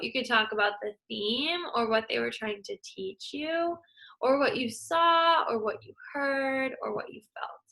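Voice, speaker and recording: A young adult woman teacher reads a picture book aloud, then gives young students a task in an encouraging way. Her voice is bright and a little high. She speaks plainly to children. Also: rough recording. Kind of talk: children's read-aloud and lesson.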